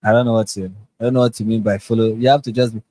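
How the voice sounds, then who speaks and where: A man with a Nigerian accent hosting a live online chat, deadpan and sarcastic, often repeating his phrases. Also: lowish voice, racing, no room sound. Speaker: host. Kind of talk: casual live-stream chat. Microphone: online call.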